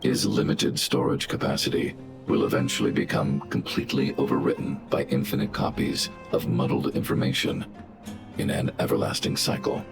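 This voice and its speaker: An actor voicing a huge, protective robot guardian in a video game, speaking in a calm, formal, emotionless and logical way. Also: male voice, extremely low pitch, gravelly, heavily acted. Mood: grave, clinical. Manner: measured, formal, emotionless machine-like delivery. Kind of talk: scripted video game dialogue. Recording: game music behind.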